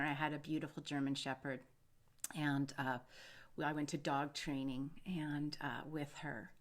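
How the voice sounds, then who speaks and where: A woman hosts an informal guided meditation in a slightly low voice. She talks very slowly and soothingly, meandering through unscripted phrases and leaving pauses between them.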